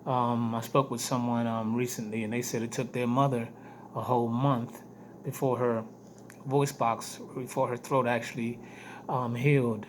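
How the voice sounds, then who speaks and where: A man with a hoarse, weak voice giving a casual video diary update, speaking haltingly and repeating phrases for emphasis. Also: mid-range voice, level tone. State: hoarse, weak voice after throat surgery. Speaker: vlogger giving health updates. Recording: noticeable background noise.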